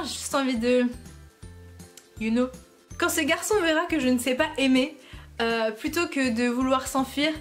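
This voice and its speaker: A young woman from France talks to her viewers in a loose, joking vlog style, laughing at herself as she goes. Her voice sits high, and faint music plays quietly behind her. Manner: playful, giggly, self-mocking. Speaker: YouTuber. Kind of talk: casual vlog chat to camera.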